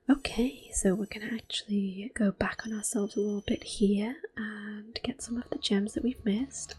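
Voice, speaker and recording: A woman giving soft-spoken, often whispered ASMR commentary on a video game, in a gentle, hushed manner. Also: mid-range voice. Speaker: ASMR gaming host.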